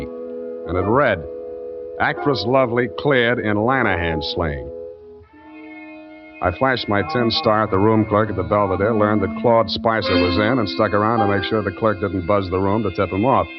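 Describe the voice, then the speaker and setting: A man acting a tough American private eye from a vintage radio play. His voice sits low and he talks in wry, clipped slang. The recording is dull and old, with music behind it. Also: normal speed, lightly acted. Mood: brooding narration. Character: wry hardboiled male private detective, streetwise and tough. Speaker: lead actor and first-person narrator. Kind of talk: old-time radio detective drama acting.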